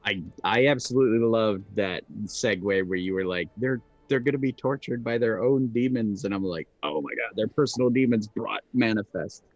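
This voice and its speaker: A man co-hosts a casual podcast stream, speaking with easy enthusiasm and tripping over his own restarts. His voice sits low. Faint music plays behind him. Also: moderate pace, hardly any pauses, dry recording, highs cut off. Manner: chatty, enthusiastic, stumbles into restarts. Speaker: podcast co-host. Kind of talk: casual chat on a live stream.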